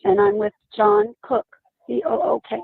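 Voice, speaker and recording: A woman calling in a report to a sheriff's office over a phone line, speaking haltingly with a husky voice. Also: fairly high pitch, big pitch swings, no room sound. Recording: tinny phone line recording.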